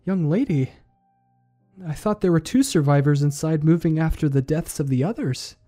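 An actor playing a professor's deferential, hesitant assistant in an audio drama, asking questions. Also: male voice, fairly high pitch, moderate pace, dark tone, faint music behind, lightly acted. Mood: shocked, worried.

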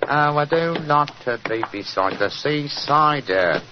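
A man's voice: fairly high pitch, expressive, measured pace, voice pushed up. A man putting on a Cockney accent to play a cheery, chatty workman in a radio drama. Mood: jaunty. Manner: chummy, sing-song, hums and chatters. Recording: dull old radio recording, light hiss.

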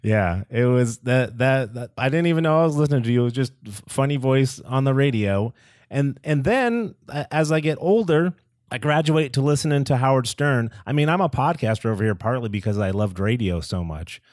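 A man's voice: medium pitch, animated, a little mellow, dry recording, clean studio sound. A man in his forties from the Boston area, co-hosting a podcast and chatting like an eager fan. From Boston area, New England. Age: 40s. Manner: eager fan, quick to laugh. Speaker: podcast co-host. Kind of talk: casual interview chat.

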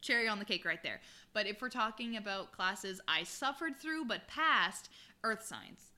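A woman's voice: medium pitch, lively intonation, moderate pace, sharp tone. A young woman co-hosting a podcast, chatting candidly and laughing at herself. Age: young adult. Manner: candid, self-deprecating, frequent laughter. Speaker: podcast co-host. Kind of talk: casual chat.

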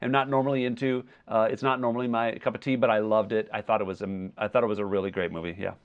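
Smooth-voiced man, slightly dull lo-fi sound. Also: lowish voice, little pitch movement, quick pace. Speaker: podcast co-host. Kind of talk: casual movie chat.

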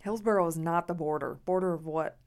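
A woman guesting on her husband's podcast, talking in a calm, matter-of-fact way. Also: on the low side, brisk. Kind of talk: casual conversation with her husband.

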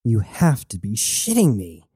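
A man's voice: mid-range voice, very animated, moderate pace, dark tone, no echo, studio quality. A man voicing a fiery, foul-mouthed young woman, blunt and mocking, in an audiobook. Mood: urgent.

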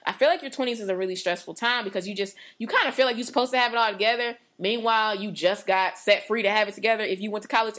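A woman's voice: mid-range voice, a bit bright. A woman in her late twenties talking earnestly and at length about her faith on a podcast, with many fillers and restarts. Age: 20s. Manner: earnest, rambling, lots of "like". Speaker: podcast co-host. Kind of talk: casual faith talk.

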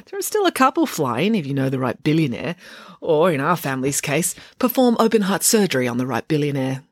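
A woman's voice: deep voice, sing-song, a little fast, dry recording, studio quality. Woman acting a blithe, lilting know-it-all girl. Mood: blithe, boastful. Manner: blithe, precise, matter-of-fact. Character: chatty, posh, unflappable know-it-all rich girl.

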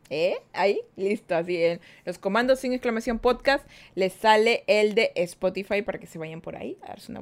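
A playful, chatty woman, hosting a casual live podcast. She talks easily and directly to her audience in a mid-pitched voice, reading their comments aloud as she goes. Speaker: podcast host.

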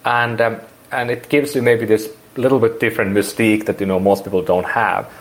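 A man's voice: lowish voice, steady tone, fairly quick. A man in his forties from Finland with a Finnish accent, speaking English as a second language and rambling through an interview with many restarts. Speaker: guest. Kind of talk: casual interview.

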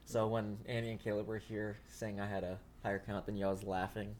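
A man in his mid-twenties speaks in a slightly low, relaxed voice, dropping dry teasing remarks. He co-hosts a casual podcast. A light hiss of room noise sits under him. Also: brisk. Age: 20s. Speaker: podcast co-host. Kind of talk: casual chat.

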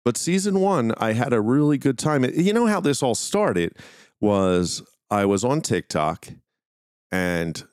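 A man's voice: medium pitch, sing-song, unhurried, a little mellow, smooth, dry recording, clean studio sound. A man hosting a podcast, talking casually and informally to his listeners in a rambling, conversational way. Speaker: podcast host. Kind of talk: casual solo talk to listeners.

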